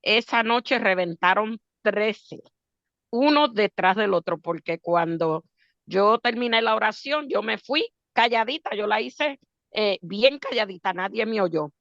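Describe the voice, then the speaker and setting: A woman's voice: medium pitch, a bit bright, dry recording. A woman with a Puerto Rican accent giving an unscripted personal testimony as a storyteller. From Puerto Rico. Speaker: pastor's wife giving testimony. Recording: dull lo-fi audio.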